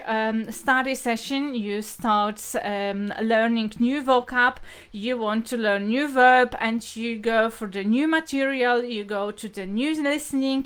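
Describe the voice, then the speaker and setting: Higher-pitched woman teaching. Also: clean tone. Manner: hesitant, repetitive, explanatory phrasing. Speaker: language teacher hosting livestream lesson. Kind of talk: informal live lesson, addressing viewers.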